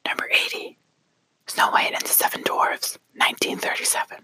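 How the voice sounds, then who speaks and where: This is a hushed ASMR whisper, airy and breathy, with a very bright, crisp edge. It moves widely up and down in pitch as it reads each entry, and it sounds close and dry with almost no room around it. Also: normal speed, no echo. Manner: soft whispering, quietly acting out each quote before the title, apologizing for slips. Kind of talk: whispered ASMR countdown of film quotes.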